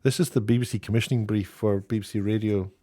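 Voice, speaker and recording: A man's voice: lowish voice, fairly even intonation, fast, warm tone. A British man giving casual opinion commentary in a sarcastic, mocking way, sounding exasperated and incredulous. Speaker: YouTube commentator.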